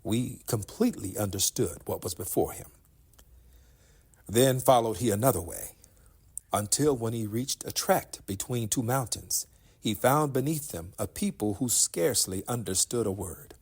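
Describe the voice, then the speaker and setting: A man with a slightly husky voice giving a solemn, formal reading of scripture in measured, declamatory phrases. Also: fairly low pitch, lively intonation, darkish tone. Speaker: reader of scripture. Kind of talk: solemn scripture recitation in English translation.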